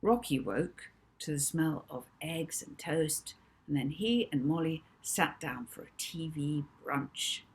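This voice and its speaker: A woman's voice: low voice, slow pace, slightly rough. A woman narrating a children's novel as its author, in scripted audiobook style. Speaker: author reading own novel.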